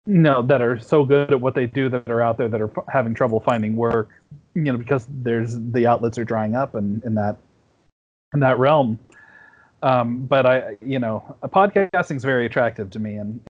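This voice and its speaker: A man in his forties chats as a podcast guest, circling his points with false starts and "you know"s. He has a middle pitch, and his audio sounds dull and lo-fi, like a remote call. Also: a little mellow. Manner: rambling, many restarts and fillers. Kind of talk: casual conversation. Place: home at night.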